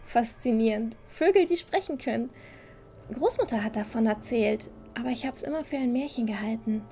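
A woman's voice: on the high side, expressive, moderate pace, dark tone. A woman playing a curious, adventurous young village girl in a fantasy audio drama, often muttering to herself. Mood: startled, amused.

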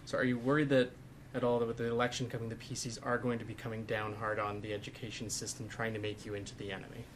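A man's voice: lowish voice, flat delivery, quick pace, noisy background. A man, a reporter, asking a question at a press conference in hesitant, piecemeal phrases. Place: indoor press conference room.

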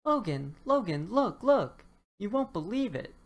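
A woman voicing an excitable, easily confused male triceratops in a children's storybook, exclaiming and questioning wide-eyed. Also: mid-range voice, measured pace, lo-fi sound, voice pushed up. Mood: excited.

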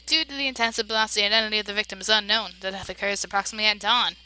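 Girl doing a let's play, reads all the dialogue, bright voice, gets loud then goes all hushed. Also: medium pitch, steady tone, racing, soft background music, poor audio. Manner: emotional, reacts loudly then drops to hushed narration. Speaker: let's play voice-over player. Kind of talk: reading game dialogue aloud with reactions.

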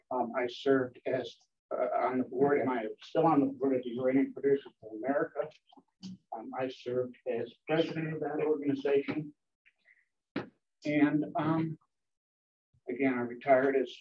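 A man's voice: mid-range voice, fairly even intonation, moderate pace. Older man speaking hesitantly, far from mic. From Connecticut. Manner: hesitant, many ums. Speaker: board candidate. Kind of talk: candidate introduction at a meeting. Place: meeting room. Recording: dull distant room mic.

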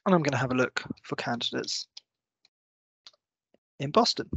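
A man in his thirties presenting a software walkthrough, explaining step by step in a semi-scripted tutorial style. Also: mid-range voice, expressive, moderate pace, dry recording. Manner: clear, step-by-step explanatory delivery. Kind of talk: semi-scripted screen-share tutorial narration.

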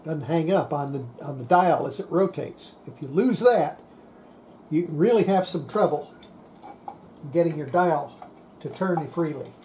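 An older man giving an unscripted hands-on repair demonstration, halting and muttering to himself as he works at a bench. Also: a little high, dark tone, slight background noise. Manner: halting, muttering to self while working. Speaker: radio repair hobbyist, presenter. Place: indoor workbench.

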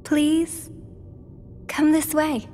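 A woman playing a gentle, solemn teenage girl in a video game cutscene, speaking with measured care. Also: high-pitched, monotone, faint music behind. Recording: reverberant hall, faint background noise.

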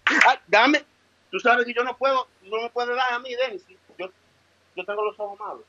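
A Dominican man pleading on a muffled, slightly noisy phone line. His high voice swings widely as he tries to placate, exasperated. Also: normal speed, sharp tone.

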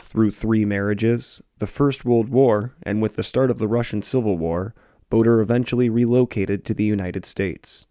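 A man narrating a short history film in a measured, scripted way. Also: fairly low pitch, warm tone, clean tone, dry recording, clean studio sound. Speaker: documentary narrator.

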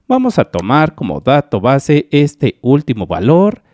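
Man from Mexico, explainer voice-over; animated pitch, dry close sound, methodical walkthrough. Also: measured pace, dry recording. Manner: methodical, addresses viewers as dear friends. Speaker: YouTube channel analyst. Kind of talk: screen-recorded explainer voice-over.

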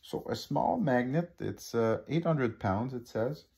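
A man's voice: medium pitch, animated, unhurried, creaky, background noise. A man with a Québécois French accent, a native French speaker, casually talking through an unboxing with many fillers and restarts, filmed on a phone over a living-room table. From Quebec. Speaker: hobby unboxing vlogger.

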